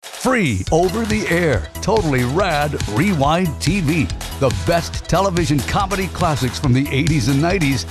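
A male promo announcer with a fairly high, very animated delivery plays up a retro-cool style. Music runs behind him. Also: moderate pace. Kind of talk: playful retro-slang promo read.

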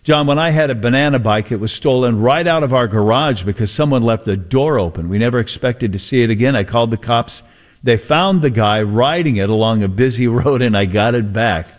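An American man hosting radio talk in a conversational, unhurried storytelling way, drawing out phrases for suspense. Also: medium pitch. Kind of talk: conversational radio talk, reading listener messages.

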